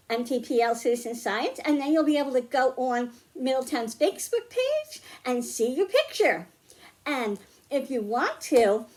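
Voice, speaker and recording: A woman's voice: high-pitched, darkish tone. A woman hosting a children's storytime, speaking in simple, encouraging terms to young kids, with sing-song emphasis when reading and explaining. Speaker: children's librarian and storytime host. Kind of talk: children's storytime and craft demonstration.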